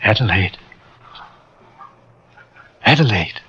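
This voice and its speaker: An actor playing a grieving rich man, soft-spoken and quietly unhinged, in a 1940s radio drama. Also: fairly low pitch, expressive, slow, a little hiss or hum. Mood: hushed. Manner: soft, hushed, then zealous. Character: grieving rich older man, gently unhinged, fixated on death. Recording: muffled old radio recording.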